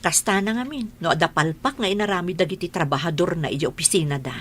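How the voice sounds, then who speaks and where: A woman's voice: fairly low pitch, quick pace, slight background noise, lightly acted. A woman acting a patient, caring middle-aged mother and wife in an Ilocano radio drama, with a pleading, emotive delivery and an Ilocano Filipino accent. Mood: soothing, sad.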